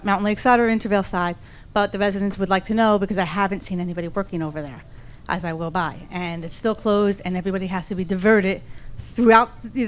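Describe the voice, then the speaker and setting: A woman speaking at public comment in a town council meeting, direct and asking questions. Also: mid-range voice. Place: council chamber.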